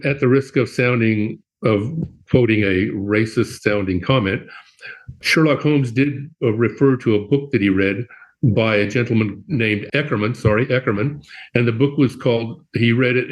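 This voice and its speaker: Hesitant man with a lowish voice. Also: low-quality recording. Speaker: audience member asking a question. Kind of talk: casual remarks in an online meeting. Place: online video meeting. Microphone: video call.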